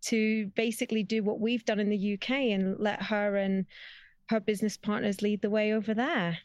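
A woman's voice: mid-range voice, flat delivery, darkish tone, no room sound, lo-fi sound. A woman raised in Spain, a guest telling her story in casual chat over a video call. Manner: chatty, storytelling, quick asides. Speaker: guest, travel business director.

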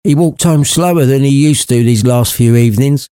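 A man voicing a sad, softhearted mother of a poor family in a storybook, speaking sorrowfully. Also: medium pitch, normal speed, clear, resonant tone, no echo, studio quality, pitched above the performer's own voice, natural voice. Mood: sad, tender. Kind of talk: storybook character voice.

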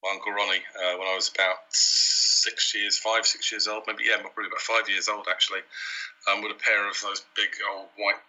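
A man's voice: lowish voice, little pitch movement, a little fast, bright, forceful tone. A man from Wales as a guest on a podcast, talking in an easygoing, reflective way and laughing often, heard over an internet call. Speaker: guest, company CEO. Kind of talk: casual interview chat. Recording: dull internet call audio.

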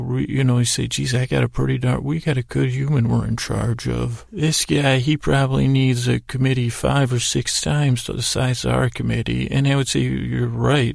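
A man with a heavily creaky, gravelly voice hosts a bedtime podcast in a very dry, close sound. He wanders through whimsical asides, stumbling and doubling back on his words. His pitch sits in an ordinary middle range for a man. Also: dry recording. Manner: meandering, halting, stammering with repeated words; whimsical, gently addressing imagined listeners. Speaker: podcast host. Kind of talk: rambling bedtime sleep-podcast monologue.

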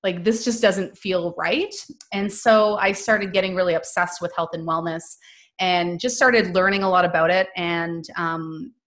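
A woman's voice: on the low side, steady tone, unhurried, fairly bright tone. A woman from Canada, a business coach, telling her story as a podcast guest in a chatty, upbeat, rambling way. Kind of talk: casual interview storytelling.

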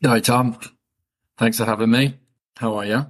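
A man, a podcast guest casually telling stories about his upbringing in a relaxed, chatty, self-deprecating way. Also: mid-range voice, measured pace. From England. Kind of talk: casual conversational storytelling.

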